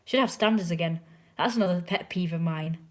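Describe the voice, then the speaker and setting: A young woman with an English accent, hoarse from a sore throat, chatting casually and opinionatedly to a viewer from a chair at home, often dropping into hushed asides. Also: on the low side, brisk. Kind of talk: casual rambling chat. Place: indoors at home, curled in a chair.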